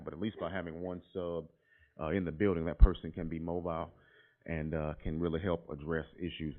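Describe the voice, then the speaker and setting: A man presenting a proposal at a school board meeting, explaining steadily with frequent filler words. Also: sits low. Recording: tinny lo-fi meeting audio.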